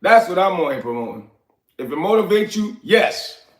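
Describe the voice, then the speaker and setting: A man in his forties from Liberia talks casually on a livestream from his apartment, drifting between topics and replying to chat. His voice sits a touch high, and he sounds as if he is still shaking off an illness. The room around him is echoey. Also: very animated, moderate pace. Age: 40s. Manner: loose, rambling, answers chat by name. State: recovering from illness, coughing. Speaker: livestream host.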